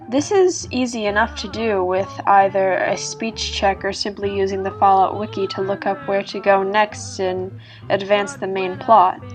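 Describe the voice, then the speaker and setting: A woman reading scripted narration as a storyteller, in a measured, explanatory manner. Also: mid-range voice. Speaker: narrator reading an online horror story about a video game. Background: music.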